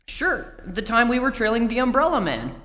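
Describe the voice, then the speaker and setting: Woman as excitable boy sidekick, lively radio style. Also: on the high side, moderate pace. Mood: explaining. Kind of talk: 1940s radio acting. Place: stage of a community theatre. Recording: reverberant theatre hall.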